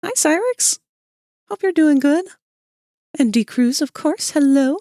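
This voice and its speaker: A woman's voice: sits high, lively intonation, unhurried, mellow, no room sound, studio quality. A woman streamer chatting casually with her viewers while sketching, her voice a little scratchy, greeting people by name and laughing often. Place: cold room at home.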